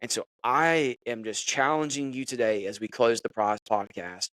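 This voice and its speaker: A man's voice: mid-range voice, moderate pace, fairly bright tone, no echo, rough recording. A man hosting a podcast, moving from sports chat into a devotional message in a loose, conversational way with plenty of fillers. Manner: conversational, preachy, many fillers. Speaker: podcast host. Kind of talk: casual devotional podcast talk.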